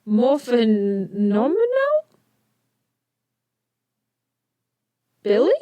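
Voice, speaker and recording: A young woman playing an eager, brainy teenage inventor hero in an audio drama, geeky and expressive. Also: mid-range voice, a little slow, no echo, muffled recording, close to an everyday voice. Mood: intrigued, probing.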